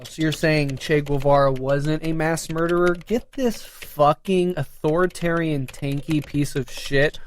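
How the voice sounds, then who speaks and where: A man's voice: a little high, fairly even intonation, measured pace, hardly any pauses, faint music behind, lightly acted. A man playing an indignant, sneering, sarcastic anarchist arguing online in a satirical radio theater sketch. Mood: outraged, sarcastic. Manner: sarcastic, foul-mouthed ranting.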